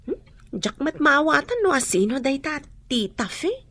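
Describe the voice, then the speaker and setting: A woman's voice: high-pitched, sing-song, unhurried, fairly bright tone, dry recording, full character voice. A woman playing a caring, playful big sister figure in an Ilocano radio drama. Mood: curious.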